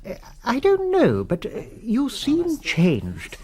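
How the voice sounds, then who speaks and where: A man's voice: extremely high pitch, huge pitch swings, mellow, heavily acted. An actor playing a kind, hesitant priest who halts and stammers as he voices his worry. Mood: hesitant, concerned. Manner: hesitant, halting, full of uh's. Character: kindly, hesitant older priest.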